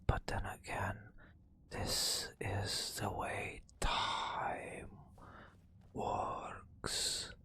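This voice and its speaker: A man softly narrating a fantasy game adventure as ASMR, in a breathy whisper with hushed, dramatic phrasing and long pauses. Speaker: ASMR gaming host. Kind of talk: soft-spoken ASMR storytelling over fantasy gameplay.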